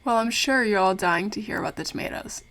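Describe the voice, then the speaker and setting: An actress playing a young, curious documentary maker who is polite, wry and playful, in an audio drama. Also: female voice, mid-range voice, fast, fairly bright tone, close to an everyday voice. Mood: wry, confiding. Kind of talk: audio drama acting with narration.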